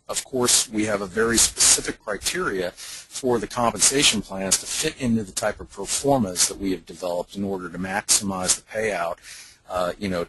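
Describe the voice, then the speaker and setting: A man's voice: on the low side, bright, forceful tone. A man speaking as a company founder on a conference call, explaining his business at length like a salesman. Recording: noisy conference call line with clicks.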